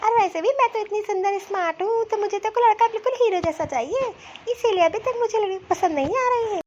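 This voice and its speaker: A tiny, extremely high voice for a vain cartoon young woman acted by a woman. She is fussy and scornful, complaining in theatrical, exclamatory asides. The sound is somewhat dull and lo-fi. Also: fast talker, a little mellow, a little hiss or hum. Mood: boastful, evasive. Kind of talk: Hindi comedy cartoon dialogue.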